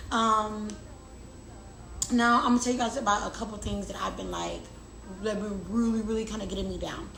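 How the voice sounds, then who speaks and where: A woman's voice: mid-range voice, steady tone, normal speed, fairly bright tone. A young adult woman vlogger chatting casually and confidingly to her viewers, often repeating words for emphasis. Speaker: lifestyle vlogger. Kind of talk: casual confiding chat to camera. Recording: roomy echo, clear background noise.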